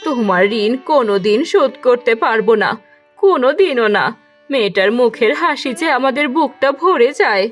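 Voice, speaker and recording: Woman acting a high, lively cartoon village wife. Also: normal speed, soft background music, lightly acted. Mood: grateful. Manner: expressive, dramatic. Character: village wife and mother, emotional. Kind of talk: Bengali cartoon folk-tale acting.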